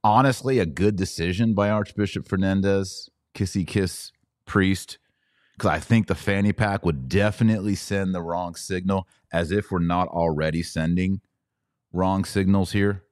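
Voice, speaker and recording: A man's voice: low voice, steady tone, normal speed, no echo, clean studio sound. A middle-aged American man hosting a podcast, giving opinionated religious commentary in an informal, slangy, mocking and incredulous way.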